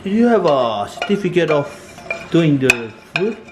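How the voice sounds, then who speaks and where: A man's voice: a little high, big pitch swings, moderate pace. A man with a Samoan accent hosting a casual vlog, playful and talking in loose, broken English. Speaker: vlog host. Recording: echoey room with clear background noise.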